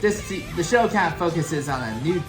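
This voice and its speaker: A young adult woman giving casual, unscripted reaction commentary in a halting, rambling way. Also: low-pitched, expressive. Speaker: reaction video host. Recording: roomy echo, clear music behind. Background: music from the intros being watched.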